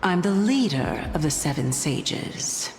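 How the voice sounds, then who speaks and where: A woman's voice: sits low, big pitch swings, takes it slowly, slight background noise, heavily acted. A woman playing a coy, condescending lady sage in a video game, speaking in a theatrical, teasing way. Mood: amused, lofty. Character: teasing, enigmatic adult woman sage, leader of seven. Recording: long hall-like echo, music underneath. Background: game music.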